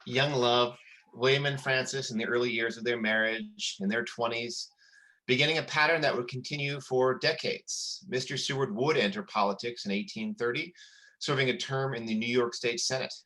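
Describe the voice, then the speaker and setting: A man presenting scripted historical commentary as a narrator, in a formal, measured storytelling manner. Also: medium pitch, fairly even intonation, bright, forceful tone, poor audio.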